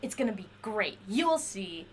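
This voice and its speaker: A woman's voice: medium pitch, sing-song, measured pace, slightly rough. A woman playing a chatty, eccentric inventor in an audio drama, rambling eagerly and wheedling to justify herself. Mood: hopeful, confiding. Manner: rambling, wheedling, talks to a silent companion.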